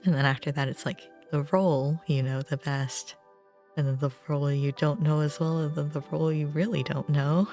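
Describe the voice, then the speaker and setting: A woman's voice: deep voice, steady tone, moderate pace, dark tone, faint music behind. A woman livestreamer chatting casually with viewers in a rambling, self-deprecating way. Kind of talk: casual gaming stream chat.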